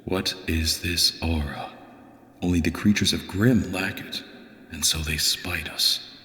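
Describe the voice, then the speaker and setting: A man acting as a wistful old-world scholar and inventor with a very deep voice full of gravel. He delivers archaic, poetic lines as a measured dramatic monologue. The sound is echoey, with a reverberant tail. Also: a bit bright, exaggerated voice. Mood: contemplative. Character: brooding, wistful male inventor and scholar reading his journal. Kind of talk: theatrical dramatic monologue, fandub narration.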